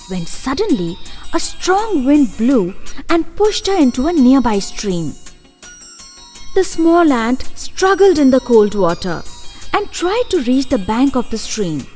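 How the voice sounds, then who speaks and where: A woman tells scripted fairy tales for children in a high voice. Her intonation is lively and varied, with soft music behind her. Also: measured pace. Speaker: storyteller. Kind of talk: scripted children's story narration. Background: faint music.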